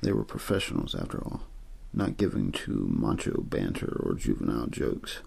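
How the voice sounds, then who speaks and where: A man reading a story aloud in ASMR style, soft-spoken and measured, with frequent pauses and a breathy, gravelly voice. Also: very deep voice, sing-song. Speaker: audiobook-style reader for an ASMR channel.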